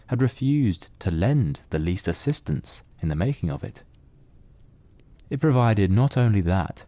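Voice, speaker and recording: A man narrating a literary mystery as scripted audio drama, in a measured, solemn storytelling manner. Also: low voice, warm tone, no echo.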